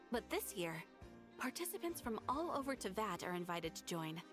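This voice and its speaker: A courteous guild receptionist speaks in a formal, explanatory manner with a lively, expressive lift in her voice. Game music plays softly behind her. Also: fairly high pitch. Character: polite adult female guild receptionist, helpful and formal. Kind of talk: video game dialogue.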